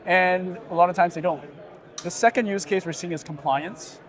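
A middle-aged man giving an assured, agreeable and well-structured conversational pitch as an interview guest. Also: moderate pace. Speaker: startup founder being interviewed. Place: busy indoor expo hall booth.

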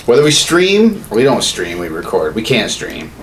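Animated, foul-mouthed man in casual chat. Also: fairly low pitch, moderate pace, noisy background. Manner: irreverent, heavy swearing. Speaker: podcast co-host. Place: small home studio room, indoors.

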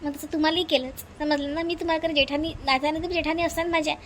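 A woman's voice: high voice, fairly even intonation, slight background noise, lightly acted. A woman voicing a kind young sister-in-law in a cartoon family drama, earnest and respectful, with a Varhadi Marathi accent. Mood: earnest, forgiving. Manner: earnest, respectful, rapid reassurance.

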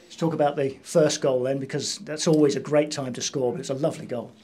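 A man's voice: medium pitch, fairly even intonation, quick pace, warm tone. A man doing a conversational post-match sports interview, chatty and fond of tag questions. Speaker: club interviewer.

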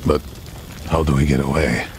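A man playing a big, jovial, rough gunslinger with a gravelly voice in a video game. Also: rumbling low, fairly even intonation, moderate pace, slight background noise. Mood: jovial, eager.

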